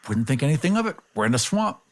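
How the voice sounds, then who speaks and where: A man's voice: medium pitch, very animated, quick pace. A man playing a tabletop game, chatting casually in a wry, joking way.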